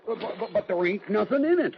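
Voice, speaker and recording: A man playing a grumbling, slow-witted cowboy sidekick in an old radio western, gravelly, folksy and sputtering. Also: sits high, lively intonation. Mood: querulous, sputtering. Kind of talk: 1950s radio western acting. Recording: noisy, muffled old-time radio recording.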